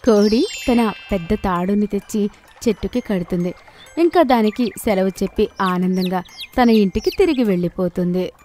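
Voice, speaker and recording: A woman narrating a children's animated moral story in a gentle, steady storytelling voice. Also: medium pitch, moderate pace, faint music behind.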